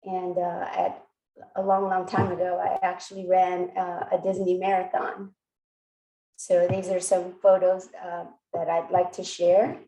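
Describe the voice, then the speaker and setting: A woman in her forties who grew up in South Korea and whose first language is Korean, introducing herself in a measured way with frequent fillers and restarts on a video call. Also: fairly low pitch, completely flat delivery, dark tone. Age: 40s. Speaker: presenter. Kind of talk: personal introduction talk. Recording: echoey room, mic far from speaker.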